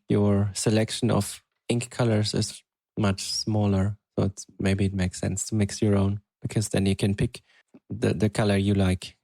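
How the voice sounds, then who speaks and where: A man with a low, gravelly voice chats casually on a podcast, thinking aloud and pausing often. The recording is dry and close, with no room sound. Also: warm tone, no echo. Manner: unhurried, hesitant, thinking aloud. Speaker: podcast co-host. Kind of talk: casual chat.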